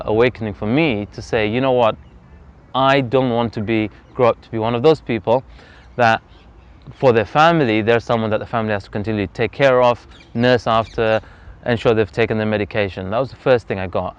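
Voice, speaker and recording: Earnest man, smooth low voice. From Zambia. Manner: earnest, reflective, measured. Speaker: interview guest. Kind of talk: personal story in an interview. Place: outdoors on a freezing day. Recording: slightly dull lo-fi outdoor recording.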